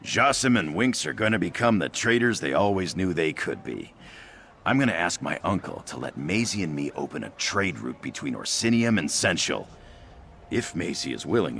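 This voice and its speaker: Man voicing a low, gravelly young orc. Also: big pitch swings, normal speed, a bit bright, a little hiss or hum, full character voice. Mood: thoughtful, hopeful. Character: cheerful, ambitious young orc nephew turned trader. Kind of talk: scripted game dialogue, AI-voiced.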